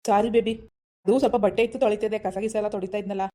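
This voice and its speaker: A meek husband keeps trying to calm things down, pleading and placating in a fast stream of words, voiced by a performer. His voice is pitched well below the performer's usual and pushed a little louder. It is a comic family skit. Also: close to an everyday voice. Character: meek son and husband caught in the middle.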